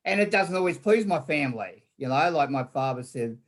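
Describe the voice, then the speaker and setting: Male guest from Australia, slightly high pitch, rambling chatty delivery with frequent fillers. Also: animated, brisk. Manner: rambling, repeats words, many fillers. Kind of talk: casual online interview.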